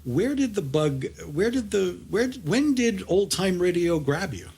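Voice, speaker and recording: A man's voice: high-pitched, sing-song, measured pace, a little mellow, husky. A middle-aged American man hosting a conversational interview, chatty and rambling as he circles back mid-sentence. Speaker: podcast host and audio drama producer.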